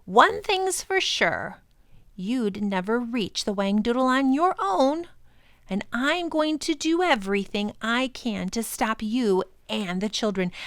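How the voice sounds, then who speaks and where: Storybook creature, male, gaunt and ill-tempered, played by a woman: raspy, drawn-out, scornful, pitched up from the reader's usual. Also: studio quality, heavily acted. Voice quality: raspy, stretched, echoing whisper. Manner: rude, indignant, scornful. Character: tall thin sinister creature, rude, bad-tempered, grumbling male.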